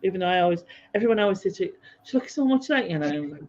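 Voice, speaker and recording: A woman's voice: mid-range voice, expressive, racing, darkish tone, muffled recording. A woman with a North East English accent, hosting a casual chat podcast and rambling in a chatty way with many fillers. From North East England. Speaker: podcast host.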